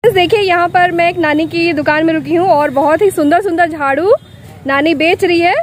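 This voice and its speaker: A woman's voice: very high voice, fairly even intonation, normal speed. A woman vlogger talking on camera at an outdoor market, chatting to her viewers as friends. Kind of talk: on-the-spot vlog talk. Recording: outdoor market noise behind.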